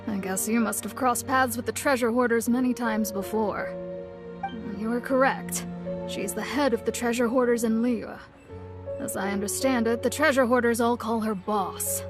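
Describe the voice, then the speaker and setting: A woman playing a young, poised and knowing guild receptionist in an anime-style game, speaking in a measured, courteous, explanatory way. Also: fairly high pitch, lightly acted. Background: game music.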